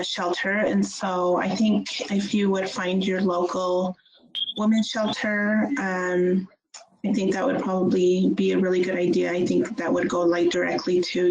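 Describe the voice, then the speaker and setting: A woman on a video call making a suggestion, halting with many fillers. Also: lowish voice, a little mellow, rough recording.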